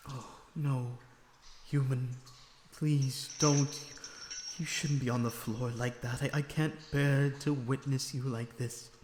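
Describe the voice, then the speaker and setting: A man playing a lonesome, remorseful, gentle vampire prisoner in an audio roleplay, speaking in an earnest, halting, emotional way. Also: mid-range voice, fairly even intonation, close to an everyday voice. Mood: pleading, tender. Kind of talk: dramatic audio roleplay acting. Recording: echoey reverberant dungeon-like sound, background noise.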